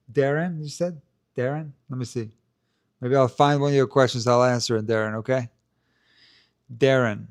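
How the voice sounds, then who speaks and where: A man with a low, soft voice hosts a live stream, answering viewer questions in a chatty, loose way. He hedges often, restarts sentences and fills gaps with "like" and "you know". The handheld mic held close keeps his voice near and clear. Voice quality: low, not strong voice. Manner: chatty, hedging, many fillers and restarts. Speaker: tennis channel host. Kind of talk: live stream Q&A, casual chat. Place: indoors, talking to camera.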